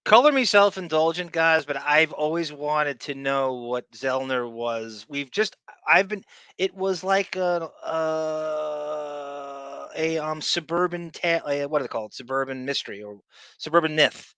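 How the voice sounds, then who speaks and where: Bright-voiced, casual male podcast host. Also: a little high, a little slow, clean tone, no room sound. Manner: rambling, searches for words. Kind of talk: casual chat and commentary.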